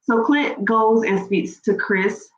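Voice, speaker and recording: A woman's voice: on the high side, takes it slowly. A woman with a stuffy nose, rambling casually as she recaps a reality TV show for her channel. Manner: chatty, rambling with fillers and restarts. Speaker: YouTube reaction host. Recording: echoey room, dull lo-fi sound, faint noise.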